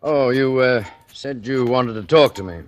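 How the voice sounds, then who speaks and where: An actor playing a nervous, hesitant doctor in a 1940s radio drama, halting and easily flustered. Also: male voice, mid-range voice, natural voice. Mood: uneasy. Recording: noisy, crackly old radio recording.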